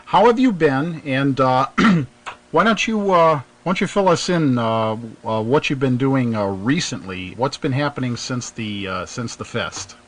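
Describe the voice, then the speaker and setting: A man hosting a live radio hobby netcast, talking casually with frequent pauses and fillers. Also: medium pitch, expressive. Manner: halting, many filler pauses. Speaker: host.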